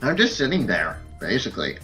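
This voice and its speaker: A man's voice: fairly low pitch, huge pitch swings, brisk, sharp tone. A man bantering casually as a player in a tabletop role-playing game. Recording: music behind the voice.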